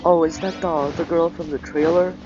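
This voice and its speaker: A man giving casual, halting, deadpan commentary while playing a video game. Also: fairly high pitch. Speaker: gamer reacting while playing. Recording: muffled sound with game music.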